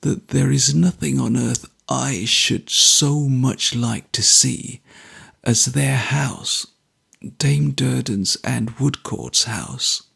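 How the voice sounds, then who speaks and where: A man voicing a gravely ill young man who speaks slowly, faintly and earnestly. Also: mid-range voice, steady tone, a bit bright, heavily acted. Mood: weak, tender.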